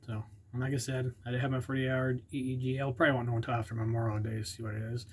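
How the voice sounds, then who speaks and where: A man's voice: medium pitch, flat delivery, very fast, slight background noise. A man casually vlogging, partly reading aloud, rambling and unsure with many fillers and self-corrections.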